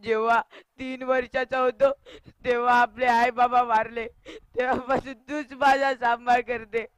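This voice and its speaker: A woman playing a grieving orphaned younger brother in a melodramatic stage monologue, crying and pleading in drawn-out phrases. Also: fairly high pitch, flat delivery, no echo, close to an everyday voice. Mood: desperate grief.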